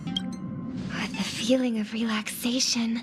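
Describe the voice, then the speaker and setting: An actress playing a gentle, wistful young singer in an anime game, with a soft, tender, breathy delivery. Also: female voice, fairly high pitch, flat delivery, takes it slowly, over music. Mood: serene. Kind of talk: scripted anime game voice acting.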